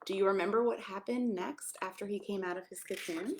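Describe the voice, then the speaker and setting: A woman's voice: mid-range voice, brisk, background noise. A woman reading a picture book aloud to young children, expressively, with friendly asides, questions and exclamations. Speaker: pastor reading a children's story.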